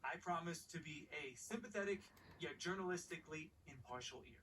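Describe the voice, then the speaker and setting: Slightly high-voiced, wry reporter. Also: male voice, expressive, brisk, pushed and bright, distant mic, slight background noise. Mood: inquisitive. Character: wary, wry male sports journalist. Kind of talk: cinematic video game dialogue.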